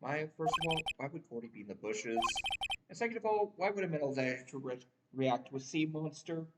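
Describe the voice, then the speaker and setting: A woman voicing a weary young male lawyer in a visual novel game, with a dry, deadpan manner. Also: deep voice, fairly quick, distant mic, very noisy, pitched below the performer's own voice. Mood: deadpan, sceptical. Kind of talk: visual novel read-aloud.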